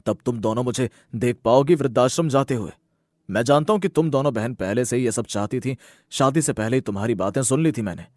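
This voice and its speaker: A man voicing a stern, fair-minded older father with a measured, reproachful manner, in a Hindi moral story cartoon. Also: medium pitch, a little mellow, dry recording, studio quality, natural voice.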